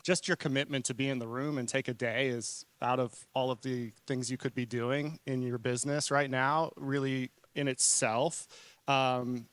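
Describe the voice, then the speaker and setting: A man in his forties from New Zealand and Hawaii, speaking informally as a conference presenter in an earnest, conversational way, often halting and correcting himself. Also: mid-range voice, steady tone, a bit bright, smooth, no room sound. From New Zealand-born, raised in Hawaii. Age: 40s. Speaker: conference speaker, marketing consultant.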